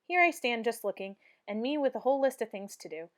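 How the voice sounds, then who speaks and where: A woman's voice: a little high, expressive, fast talker, close to an everyday voice. A woman voicing a cheerful, naive, earnest housemaid in a storybook, with a folksy, exclaiming manner. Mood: musing, puzzled.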